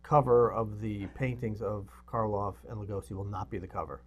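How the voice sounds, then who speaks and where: A man's voice: on the low side, fairly even intonation, normal speed. A man co-hosting in casual back-and-forth chat, teasing and questioning his partner. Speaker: co-host of a local film review show.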